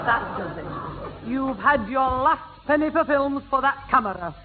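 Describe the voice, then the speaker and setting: Firm mother, very high-pitched. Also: animated, unhurried. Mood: stern. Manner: firm, scolding. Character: stern, exasperated mother of a naughty boy. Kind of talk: 1960s radio sitcom acting. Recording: tinny, echoing, noisy old radio broadcast.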